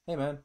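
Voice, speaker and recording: A man's voice: sits high, vocal fry, darkish tone, slight background noise. A man giving casual, sweary game commentary, muttering to himself as he plays. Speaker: gaming streamer.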